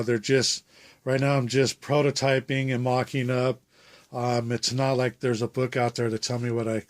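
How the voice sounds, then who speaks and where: Halting man with a phlegmy cold. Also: medium pitch, no room sound. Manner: halting, many fillers, unscripted. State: catching a cold, phlegmy throat. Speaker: RC hobbyist showing his build. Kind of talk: casual build update.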